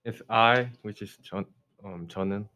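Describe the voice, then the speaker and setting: Hesitant man with a low voice. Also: fast, sharp tone. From South Korea. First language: Korean. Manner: hesitant, brief answers. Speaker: interviewee. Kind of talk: casual interview. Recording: dull lo-fi recording.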